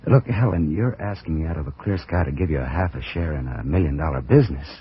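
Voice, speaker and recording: A man's voice: rumbling low, fast, breathy edge, full character voice. An actor playing an anxious, guilty American businessman in an old radio suspense drama, speaking in a tense, clipped, confessional way. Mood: wary. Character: guilty, anxious businessman narrating his own crime, cornered husband. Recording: muffled vintage radio recording with music.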